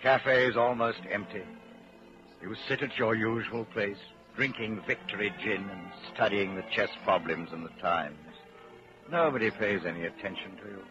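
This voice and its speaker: An actor playing a grave storyteller, narrating in a deliberate, dramatic 1940s radio style. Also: male voice, on the low side, close to an everyday voice. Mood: grave, brooding. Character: grave male storyteller addressing the hero as you. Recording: muffled vintage 1940s radio recording. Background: loud music.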